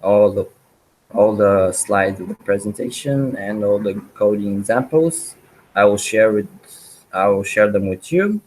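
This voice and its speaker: A man leads a programming webinar, talking through his code as he types. His voice sits somewhat low and he speaks unhurriedly, filling gaps with ums and backing up mid-phrase to fix his wording. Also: measured pace, a little mellow, poor audio. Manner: hesitant, many ums and restarts. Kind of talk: live coding walkthrough.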